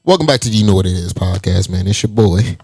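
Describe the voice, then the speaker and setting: A man's voice: deep voice, expressive, fast, a little mellow, no room sound, studio quality. A man from Las Vegas hosting a podcast, talking in a loose, hyped way with profane slang. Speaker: podcast host. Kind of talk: casual podcast interview.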